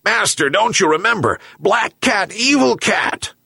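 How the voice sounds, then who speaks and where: A man's voice: mid-range voice, huge pitch swings, measured pace, sharp tone, slightly rough, no echo, studio quality, pitched above the performer's own voice, heavily acted. A man voicing a loyal, hungry, indignant dog in a storybook tale, with a yelping manner. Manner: yelping, barking woofs.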